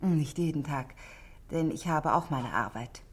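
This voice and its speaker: A woman's voice: low voice, lively intonation, unhurried, slightly rough, heavily acted. An actress in a 1960s German radio play, playing a composed, middle-aged married woman who speaks politely and articulately, confiding her worries. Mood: earnest, worried.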